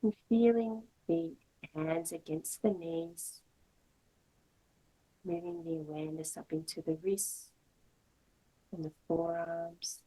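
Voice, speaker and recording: Low, husky woman guiding meditation. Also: fairly even intonation, mellow, rough recording. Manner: slow, measured, with long pauses between short phrases. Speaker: yoga teacher. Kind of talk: guided meditation instruction.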